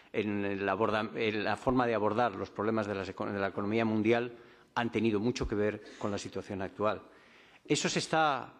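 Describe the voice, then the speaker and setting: A man with a lower voice, speaking on a conference panel in a big hall with a long reverb. He is deliberate and restarts phrases to correct himself. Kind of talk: formal conference remarks.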